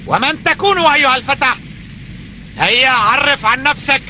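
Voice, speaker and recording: A man's voice: extremely high pitch, moderate pace, a little hiss or hum, full character voice. A man voicing an arrogant, vengeful space ruler in a dubbed cartoon, speaking in a haughty, taunting way. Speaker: enemy ruler. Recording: dialogue over loud music.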